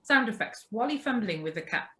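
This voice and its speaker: A woman's voice: on the high side, lively intonation, fairly quick, bright, forceful tone. A woman reading out stage directions and sound cues as scripted narration. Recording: live video-call recording.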